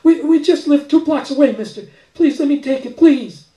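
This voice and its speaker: A man's voice: very high voice, normal speed, dark tone, voice pushed up. A man voicing an eager, earnest, stubborn young girl who pleads, in a live story reading. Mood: eager, pleading. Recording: echoey room, far from mic.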